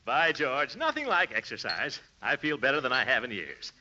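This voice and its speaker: An actor playing a pompous, blustering middle-aged uncle with nervous chuckles in a 1940s radio comedy. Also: male voice, mid-range voice, wildly swooping pitch, moderate pace, bright, forceful tone, full character voice. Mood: pompous, self-satisfied. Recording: dull old-time radio recording.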